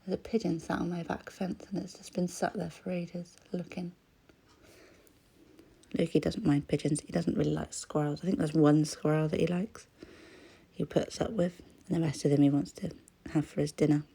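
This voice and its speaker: A croaky-voiced woman, chatting casually as she crafts, often trailing into a whisper. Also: deep voice, steady tone, normal speed, mellow. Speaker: crafting vlogger. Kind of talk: casual voice-over chat while crafting.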